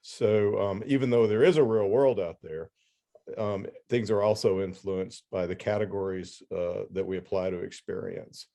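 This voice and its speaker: Low, mellow-voiced male podcast host. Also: moderate pace. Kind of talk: casual interview chat.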